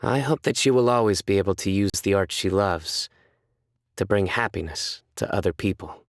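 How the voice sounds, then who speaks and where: Stern young judge, video game character, low pitch, measured, solemn, deadpan, very dry recording. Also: male voice, no echo. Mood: earnest. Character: stern, composed young male desert judge, earnest and solemn. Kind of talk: scripted game character voice lines.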